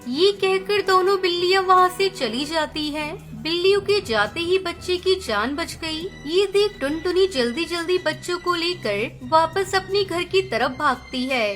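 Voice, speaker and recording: A woman's voice: extremely high pitch, lively intonation, unhurried, hardly any pauses, a bit bright. A woman reading scripted narration of a children's story as its storyteller. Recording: clear music bed under narration. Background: music.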